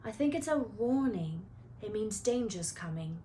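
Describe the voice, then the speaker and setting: A woman's voice: mid-range voice, expressive, a little slow, warm tone, slight background noise, lightly acted. A woman voicing a troubled, intense schoolboy hero from a storybook, speaking with insistent emphasis. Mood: insistent worry.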